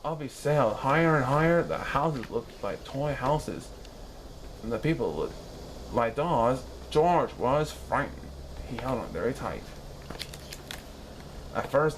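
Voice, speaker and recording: A man reading a children's story aloud in an expressive, animated style with dramatic pauses. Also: mid-range voice, slight background noise, rough recording.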